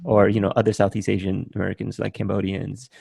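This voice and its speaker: Interview host, male, forties, from Vietnam. Low, gravelly, a bit husky, dry close sound, relaxed and musing. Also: quick pace, no echo. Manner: relaxed, thinking aloud with many "um" and "right?". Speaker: podcast host. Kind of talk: casual interview chat.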